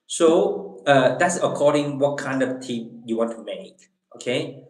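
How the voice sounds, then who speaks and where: A man with a Chinese accent chats with his livestream audience at an unhurried pace. He stops often and repeats words as he reaches for English phrases. His voice sits in a middle pitch and carries the echo of a roomy space. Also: lively intonation, a little mellow. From Eastern China. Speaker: livestream host. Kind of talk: casual livestream chat with viewers. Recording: echoey room.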